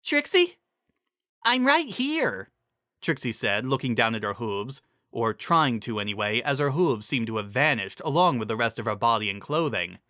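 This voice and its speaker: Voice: mid-range voice, fairly quick, hardly any pauses, pushed and bright, no room sound, clean studio sound. A narrator giving a dramatic scripted reading of a fantasy story. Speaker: narrator reading fan fiction.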